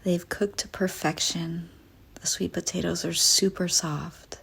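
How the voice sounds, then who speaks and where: Female meditation narrator: very slow, breathy and husky, soft soothing delivery, unhurried pauses. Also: lowish voice, steady tone. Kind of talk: guided visualization meditation, scripted first-person narration.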